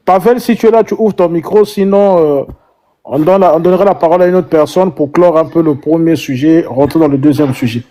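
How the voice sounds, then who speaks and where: A man's voice: fairly high pitch, a little fast, dark tone. A man moderating an online panel debate, directing speakers and handing out the floor. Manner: directive, passes the floor, mixes in Lingala words.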